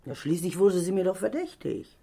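A gossipy middle-aged landlady in a radio crime drama. Her voice is creaky and swings up and down expressively. She chatters on with self-righteous indignation and sly insinuation. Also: on the low side, sing-song, normal speed, warm tone, exaggerated voice. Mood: confiding. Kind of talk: German crime radio play acting.

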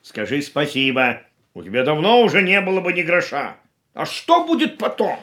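A man's voice: a little high, big pitch swings, normal speed, bright, forceful tone. A man playing a thrifty, exasperated older uncle in a radio play, scolding and dismissive with emphatic delivery. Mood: indignant.

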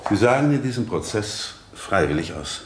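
An actor playing a composed defence lawyer in a German radio drama, speaking formally and with measured patience. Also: male voice, lowish voice, sing-song, roomy sound. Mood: calm questioning.

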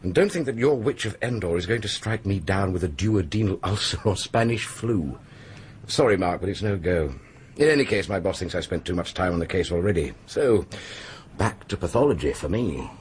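An actor with a British accent playing a gruff, blunt, sceptical police pathologist in a radio drama, husky and brusque. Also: male voice, low-pitched, lively intonation, moderate pace, slight background noise. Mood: incredulous, brusque. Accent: British English. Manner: brusque, dismissive, dry.

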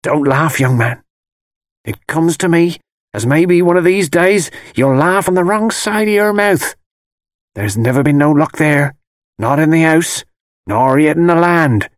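A man's voice: fairly high pitch, animated, a little slow, dry recording, clean studio sound, pitched above the performer's own voice. A man voicing a malicious, wheedling old woman who tells fortunes, with rustic dialect speech and ominous insistence, in an audiobook. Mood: sharp warning. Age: older. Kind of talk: dramatised audiobook character voice.

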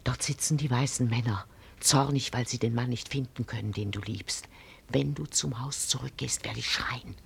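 A woman playing a vengeful, hard older mother in a radio play, intense and commanding, in a hoarse, breathy half-whisper. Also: very deep voice, fairly even intonation, moderate pace, exaggerated voice. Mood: menacing.

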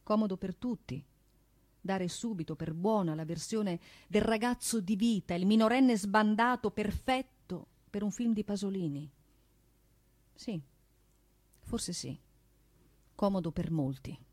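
A woman's voice: mid-range voice, measured pace, pauses often, darkish tone, no room sound. A woman performing scripted theatrical narration as a stage narrator.

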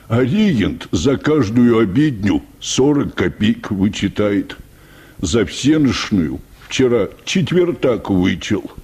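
A meek, hard-drinking choir bass in a comic radio story. The voice is very deep and husky, dragging slowly. He rambles deferentially, padding everything with polite, hesitant phrases. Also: a little mellow, heavily acted. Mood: plaintive. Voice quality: deep, hoarse, gravelly bass. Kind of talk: radio play acting of a comic short story.

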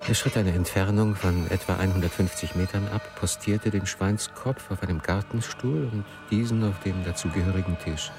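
An actor playing a writer who narrates his own story in a radio play, in a measured, literary manner. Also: deep voice, music in the background. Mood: measured, observing. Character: male first-person narrator, a writer turned would-be marksman.

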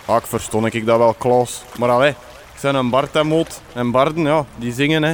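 An actor playing a touchy, sentimental Flemish bard in a comic radio drama, sulky and melodramatic. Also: male voice, medium pitch, fairly even intonation, moderate pace, slight background noise, close to an everyday voice.

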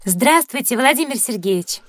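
Woman voicing a pert, high-voiced schoolgirl. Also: lively intonation, moderate pace, over loud music, natural voice. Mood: matter-of-fact. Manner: childlike, pert, inquisitive. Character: sensible, teasing, curious young schoolgirl. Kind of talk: scripted educational audio drama.

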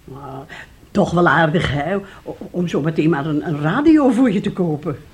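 A woman's voice: deep voice, lively intonation, moderate pace. An actress playing a fussy, scheming older mother in a radio play, theatrical and effusive, repeating herself. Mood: coaxing. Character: fussy, scheming older mother, gushing then bitterly nagging. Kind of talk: Dutch radio play acting.

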